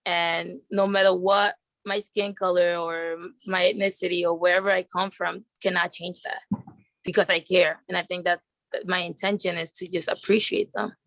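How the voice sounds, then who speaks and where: A woman's voice: lowish voice, little pitch movement, moderate pace, a bit bright, dry recording. Heartfelt woman. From Dominican Republic. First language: Spanish. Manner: heartfelt, repeats words as she speaks. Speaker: advocate. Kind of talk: panel discussion.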